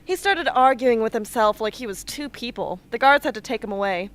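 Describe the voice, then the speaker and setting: Woman acting young female victor, sardonic, blunt, unfiltered, higher-pitched, radio drama. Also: lively intonation, fairly quick, natural voice. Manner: dry, brash, unfiltered.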